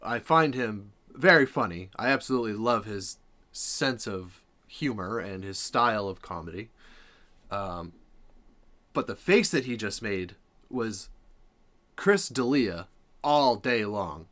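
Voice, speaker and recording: An American man hosting a reaction video, musing out loud in casual, unscripted chat with an appreciative manner. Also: on the low side, animated, slow.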